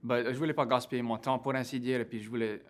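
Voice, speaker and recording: A young man in his twenties with a Cajun French accent, talking as an interview guest, halting and full of fillers and restarts. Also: mid-range voice, fairly even intonation. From Louisiana. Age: 20s. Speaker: interview guest, graduate student. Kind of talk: casual interview conversation.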